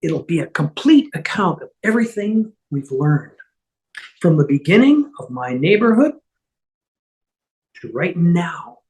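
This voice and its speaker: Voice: mid-range voice, big pitch swings, measured pace, pauses often, a little mellow, poor audio. A reader in an amateur table read over a video call, playing an earnest, fumbling man who speaks hesitantly. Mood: earnest, resigned. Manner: hesitant, muttering repetitions.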